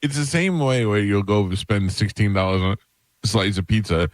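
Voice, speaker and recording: A man's voice: on the low side, normal speed, no room sound. A man in his fifties co-hosting a radio show, talking in a wry, matter-of-fact way. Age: 50s. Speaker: radio co-host. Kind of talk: casual radio banter. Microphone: studio microphone.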